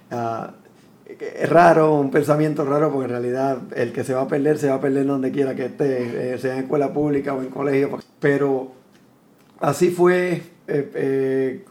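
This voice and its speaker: A Puerto Rican man in his fifties, a military veteran, telling his life story in a reflective, unhurried way, often restarting his sentences. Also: mid-range voice, a little mellow. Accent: Puerto Rican Spanish. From Puerto Rico.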